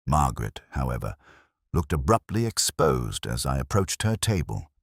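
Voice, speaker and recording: An extremely low-voiced man narrates a first-person mystery with measured, reflective phrasing. His pitch rises and falls expressively through each literary sentence. The sound is dry, with hardly any room around it. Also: big pitch swings, gravelly, slightly rough, dry recording. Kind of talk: scripted first-person detective story narration.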